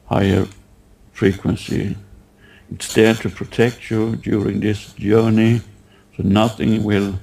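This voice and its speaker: Man, meditation guide, very gravelly, dark mellow tone, halting, gently instructing. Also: lowish voice, low-quality recording. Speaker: guided meditation practitioner leading a session. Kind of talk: slow guided meditation with questions.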